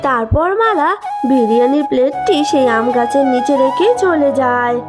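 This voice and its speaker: A woman reading scripted storybook narration as the narrator of an animated village tale. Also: sits high, moderate pace, music in the background.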